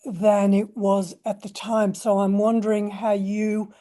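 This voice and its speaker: A woman posing a long, deliberate question as an audience participant. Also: mid-range voice, little pitch movement, mellow. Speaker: audience member asking a question.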